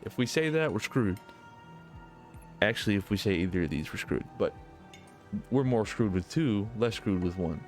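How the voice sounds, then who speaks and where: A man with a fairly low voice plays through a visual novel. He reads narration aloud and comments bluntly and informally, with music playing behind him. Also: animated, normal speed, pauses often. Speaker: gaming video host. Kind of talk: visual novel let's play, narration read aloud with casual asides.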